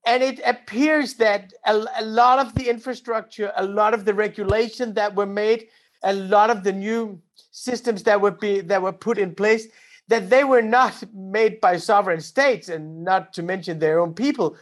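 Thoughtful academic interviewer, halting phrasing. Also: mid-range voice. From Mauritania. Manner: thoughtful, hesitant, repeats words.

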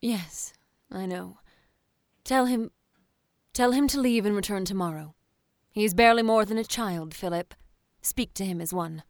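A woman's voice: mid-range voice, moderate pace, no room sound, natural voice. Proud, defiant colonial woman played by an actress, dry close recording. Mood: weary, firm. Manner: formal, theatrical, ornate old-fashioned phrasing. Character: defiant, sharp-tongued, proud woman in 1692 Salem. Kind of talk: period audio drama acting.

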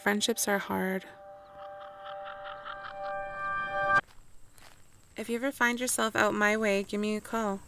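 A woman's voice: mid-range voice, steady tone, brisk, vocal fry, over loud music, close to an everyday voice. A woman acting in an intimate audio drama as a guarded, wistful young woman back home after years away.

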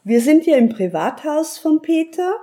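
A woman's voice: high voice, sing-song, normal speed, dark tone. A woman hosting a conversational, radio-style interview in an enthusiastic, complimentary manner. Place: home recording studio indoors.

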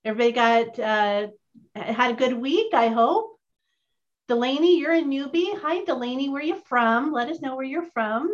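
A woman's voice: high voice, normal speed. A woman hosting a casual online training chat, upbeat and chatty, often putting questions to her audience. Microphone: video call. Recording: muffled lo-fi call audio.

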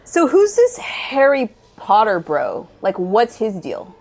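A woman playing an unimpressed slacker student of magic, griping in halting, slangy speech in an improvised comedy sketch. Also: on the high side, wildly swooping pitch, darkish tone, full character voice. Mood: sardonic, griping.

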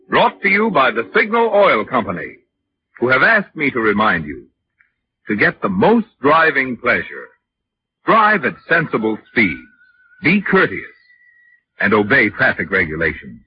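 A man's voice: medium pitch, wildly swooping pitch, unhurried, pauses often. A man working as a 1940s radio announcer, reading a script in a formal, emphatic way. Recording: muffled old radio recording. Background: music.

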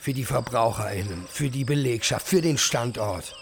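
Man playing a cocky, gravelly influencer tycoon, insects chirping. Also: mid-range voice, normal speed, a little hiss or hum, close to an everyday voice. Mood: smug, preachy. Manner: bragging, hype-laden, flirty, peppered with English buzzwords. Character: cocky woke male influencer mega-entrepreneur, slick, self-promoting. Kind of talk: satirical podcast radio drama.

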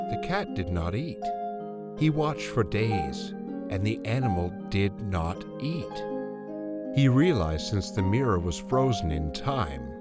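A man narrating a fairy tale in a solemn, theatrical storytelling manner. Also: fairly low pitch. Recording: loud music under narration.

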